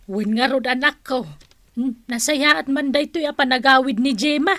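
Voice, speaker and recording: A woman's voice: sits high, normal speed, pushed and bright, no echo. An actress playing an older mother and grumbling wife in a radio drama, resigned and scolding. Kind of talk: Ilocano radio drama acting.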